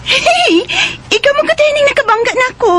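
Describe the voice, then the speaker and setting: Very high-pitched flirtatious mistress. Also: female voice, expressive, moderate pace, noisy background. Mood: startled. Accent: Cebuano Filipino. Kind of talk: Filipino radio drama acting. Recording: radio drama with music underneath.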